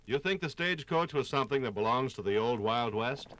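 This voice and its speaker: A man narrating a scripted 1950s radio documentary with dramatic, storytelling phrasing and deliberate pauses. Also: medium pitch, lively intonation, a bit bright.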